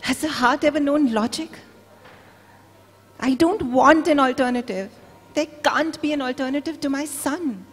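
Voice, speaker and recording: A woman's voice: sits high, moderate pace. A woman giving a dramatic literary reading aloud at a live book event. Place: large indoor event venue. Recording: echoey hall.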